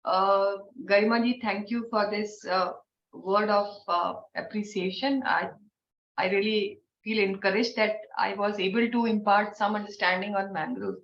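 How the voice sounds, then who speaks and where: A woman with an Indian English accent, hoarse from a cold, giving thoughtful advice in an online training Q&A with many fillers. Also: mid-range voice, fairly even intonation, moderate pace. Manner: thoughtful, advisory, frequent fillers. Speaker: invited expert speaker. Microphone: video call. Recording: dull, roomy online call audio with faint noise.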